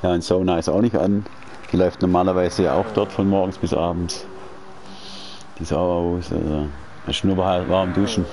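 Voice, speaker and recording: Deep-voiced man rambling over race-car noise. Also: moderate pace. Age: 40s. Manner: relaxed, rambling, talkative with slang and restarts. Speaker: sim racing streamer. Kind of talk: casual chat while gaming. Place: indoors at home. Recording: racing game engine noise behind. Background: car engine sounds from the game.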